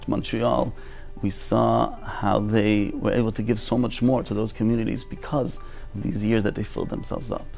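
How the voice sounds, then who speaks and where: A man's voice: on the low side, level tone, moderate pace, vocal fry, few pauses, a little mellow. A man giving a testimonial for a community video. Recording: music playing behind the voice.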